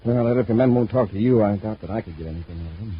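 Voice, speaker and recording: Guy doing steady space commander in old radio serial, american, talks fast and authoritative, hissy old recording. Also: lowish voice, natural voice. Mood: calm, probing. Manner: brisk, authoritative, measured questioning. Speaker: radio drama actor. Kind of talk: 1950s radio adventure serial acting. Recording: muffled, noisy vintage radio recording.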